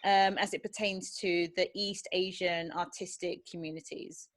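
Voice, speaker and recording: A woman's voice: on the low side, fairly even intonation, moderate pace. A woman hosting a casual interview, effusive and encouraging as she talks with her guest. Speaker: interview host.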